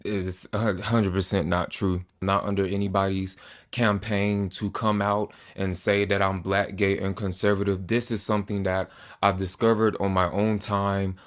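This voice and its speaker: A man in his twenties speaks straight to the camera in an earnest, measured way. His voice sits a little on the low side, and he sometimes stumbles and restarts a phrase. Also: level tone. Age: 20s. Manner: earnest, deliberate, with small restarts. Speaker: political commentator.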